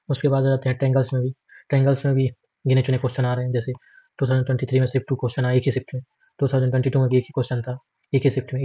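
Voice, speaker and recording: Fast, flat-toned Indian man teaching. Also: medium pitch, no echo, dull audio. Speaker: exam prep instructor. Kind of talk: informal screen walkthrough lesson.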